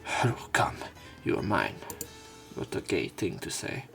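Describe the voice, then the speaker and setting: A man's voice: deep voice, huge pitch swings, unhurried, gravelly, a bit bright, husky. A man narrating a visual novel let's play in a subdued, tired way, mixing read-aloud text with casual commentary. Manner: subdued, tired-sounding delivery. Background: game music.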